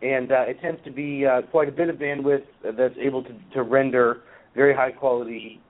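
A man with a hoarse voice from a cold, a guest in an interview, explaining at a measured pace with many filler pauses. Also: mid-range voice, flat delivery. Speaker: guest, nonprofit executive. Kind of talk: conversational interview answers. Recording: phone-line audio.

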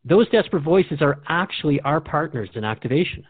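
A man's voice: on the high side, expressive, fairly quick, breathy edge, no room sound. A man speaking as a radio host in a conversational drama dialogue, reflective and earnest. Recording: dry, narrow phone-like sound.

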